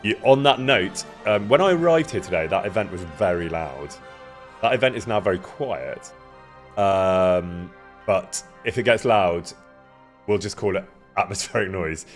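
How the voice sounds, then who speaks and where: A man casually chatting with his live-stream viewers, greeting them by name and laughing often, with a loud voice that carries. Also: sits low, expressive, measured pace, faint music behind. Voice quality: loud, carrying voice. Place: indoor room near an event space.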